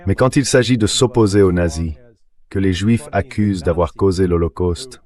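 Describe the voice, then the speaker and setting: A man's voice: deep voice, moderate pace, a little mellow. A man's synthetic AI dubbing voice delivering argumentative, emphatic political commentary as an interview guest. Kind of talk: dubbed voice-over of political commentary.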